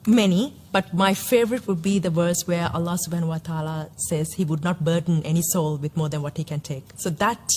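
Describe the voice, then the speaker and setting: Low-voiced woman from Sri Lanka. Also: fairly even intonation, brisk, hardly any pauses, darkish tone, a little hiss or hum. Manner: hesitant, many fillers and restarts. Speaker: interviewee. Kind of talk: personal testimony interview.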